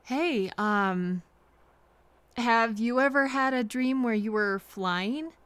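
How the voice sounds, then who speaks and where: Woman playing a sweet caring lady on a train, highish soft voice, kinda hesitant and soothing, audio roleplay, really dry sound. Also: clear, resonant tone, dry recording. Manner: gentle, hesitant, reassuring, pausing for replies. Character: kind, apologetic woman train passenger comforting a frightened stranger.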